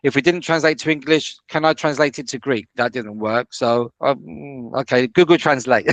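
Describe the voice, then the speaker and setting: A man's voice: medium pitch, normal speed, a bit bright. A man from the United Kingdom, a language student, talking in a casual interview, hesitant with lots of fillers and restarts. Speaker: student guest.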